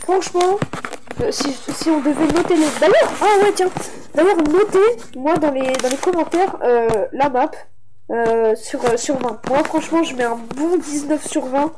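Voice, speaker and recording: A young gaming YouTuber with a high voice and a congested, stuffy sound from a cold. She rambles through her commentary, restarting sentences and leaning on fillers. A steady hiss of noise sits under the recording. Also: a little slow. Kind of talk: casual game map showcase commentary.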